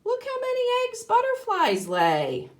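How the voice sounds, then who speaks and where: A woman teaching young children in a read-aloud lesson, explaining simply and deliberately, with emphasis and questions to the listener. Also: very high-pitched, wildly swooping pitch. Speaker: kindergarten teacher.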